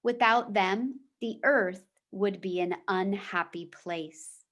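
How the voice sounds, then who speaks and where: Woman, mid-range voice, slow pace, teacher's read-aloud, deliberate storybook delivery. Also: medium pitch, fairly bright tone. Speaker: teacher reading a book aloud to fourth graders. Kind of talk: read-aloud storytelling with short explanatory asides.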